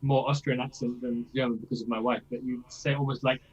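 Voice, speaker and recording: Husky male vocal coach, dull lo-fi sound. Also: mid-range voice, flat delivery, brisk. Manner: explains with frequent "yeah?" check-ins and demonstrates lines himself. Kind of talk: masterclass coaching.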